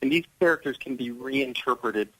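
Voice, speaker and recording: Man, mid-range pitch, dry close sound, earnest, explanatory, conversational radio guest. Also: moderate pace, bright, forceful tone, no echo, low-quality recording. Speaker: guest actor.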